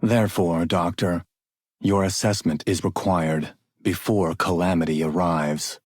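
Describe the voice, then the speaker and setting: An actor voicing a solemn, formal and courteous man in a video game, with measured, stately phrasing. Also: sits low, fairly even intonation, breathy edge, no echo, clean studio sound. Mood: grave. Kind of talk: video game voice line acting.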